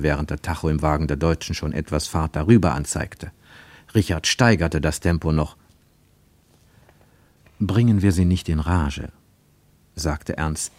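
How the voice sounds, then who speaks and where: A man's voice: sits low, darkish tone, no echo. A man reading a literary story as a scripted narration.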